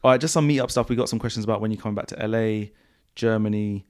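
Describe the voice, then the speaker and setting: A man hosting a podcast in a casual, relaxed way, with a croaky voice and slangy, repetitive phrasing. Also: fairly low pitch, rapid-fire. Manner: relaxed, repeats himself, slangy. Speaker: podcast host. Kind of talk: casual podcast chat answering viewer questions. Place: home studio.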